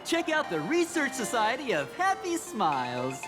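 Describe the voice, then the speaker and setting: A serene woman recruiter from a video game, makes a polished, pious pitch. Her intonation rises and falls expressively as she soothes and persuades, with clear background music under her words. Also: huge pitch swings, moderate pace. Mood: inviting, reassuring. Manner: soothing, polished sales pitch with theatrical piety. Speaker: game character, cult recruiter. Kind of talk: scripted game voice acting.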